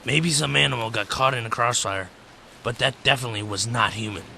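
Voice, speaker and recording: A man's voice: medium pitch, fairly quick, vocal fry, bright, forceful tone, slight background noise. A man playing a stern, commanding American army captain in an amateur World War II radio drama, speaking in dry, clipped orders. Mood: grave.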